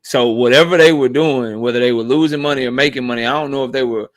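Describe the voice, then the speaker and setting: A man hosts a live boxing debate stream, making his case in a blunt, argumentative way and swearing freely. He speaks at a medium pitch. The recording is dry, with no room sound. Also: fairly even intonation, fast talker, dry recording.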